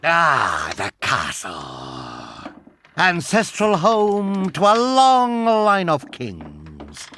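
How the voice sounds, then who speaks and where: Slow, swooping, pompous evil sorcerer. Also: male voice, a little high, huge pitch swings, sharp tone, a little hiss or hum, full character voice. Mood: haughty, scornful. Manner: pompous, sneering, theatrical. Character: pompous evil sorcerer, haughty, sneering, megalomaniac villain.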